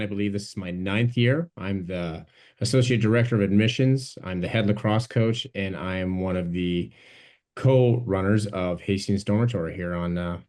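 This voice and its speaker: A man's voice: fairly low pitch, a little mellow. A man, a school coach, introducing himself at a webinar. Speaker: admissions officer and lacrosse coach.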